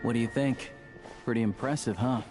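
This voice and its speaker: A man voicing a composed male guide in a video game, explaining things in a calm, matter-of-fact way. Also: mid-range voice, a little fast, husky, natural voice. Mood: easy. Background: faint game music.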